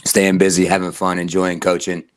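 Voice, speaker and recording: A hoarse man co-hosting a casual chat, easygoing and joking, with his voice nearly gone. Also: low voice, monotone, moderate pace. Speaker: co-host, athletic trainer.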